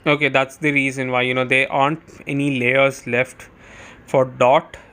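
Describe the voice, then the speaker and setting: A man's voice: mid-range voice, flat delivery, moderate pace, sharp tone, clear, resonant tone. A man giving casual, chatty live commentary on a game as a streamer, thinking aloud as he goes.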